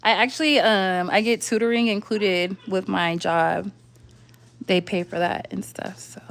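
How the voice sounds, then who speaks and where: A young woman from the southwestern United States chatting casually on a livestream at home, rambling along in slangy, informal talk. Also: mid-range voice. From southwestern United States (Arizona and Las Vegas). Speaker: livestream host.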